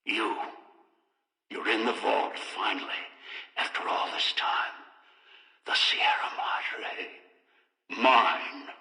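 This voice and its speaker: An older man voicing a domineering, scheming old man in a video game, deliberate and imperious, through a reverberant loudspeaker echo. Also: on the low side, steady tone, vocal fry, full character voice. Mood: gloating, possessive. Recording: echoing hall, long reverb, distant.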